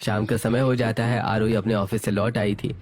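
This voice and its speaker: A man's voice: lowish voice, little pitch movement, moderate pace, darkish tone, slight background noise. A man reading scripted narration for a family moral story, setting out scenes as the narrator.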